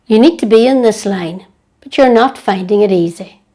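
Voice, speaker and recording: A woman leading a guided prayer meditation in a gentle, hushed, unhurried way with long pauses. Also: mid-range voice.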